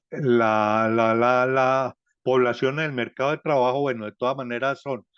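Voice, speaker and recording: Slow, hesitant male researcher presenting. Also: mid-range voice, clear, resonant tone, no echo, lo-fi sound. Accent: Colombian Spanish. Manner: slow and deliberate, frequent hesitations and self-corrections. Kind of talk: academic presentation.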